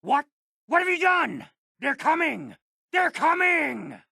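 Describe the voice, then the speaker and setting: Grumpy old hermit for a video game, raspy, sharp edged, sarcastic and stubborn, real animated. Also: male voice, extremely high pitch, slow pace, no echo, clean studio sound, exaggerated voice. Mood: alarmed, angry. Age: elderly. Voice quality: gravelly, raspy. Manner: ornery, sarcastic, indignant. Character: stubborn, cantankerous old hermit uncle guarding his homestead. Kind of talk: video game character dialogue.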